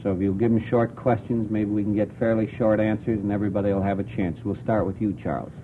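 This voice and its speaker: A man's voice: lowish voice, steady tone, quick pace. Male radio panel host, moderating live; narrow old broadcast sound with faint noise. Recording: muffled, noisy old radio broadcast tape.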